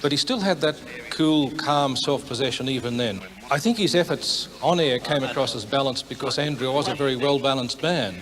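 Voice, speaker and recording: A mature man gives an interview recollection. His pitch is average for a man, and he talks reflectively about someone he worked with. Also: moderate pace, a crowd in the background. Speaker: interviewee, veteran broadcaster.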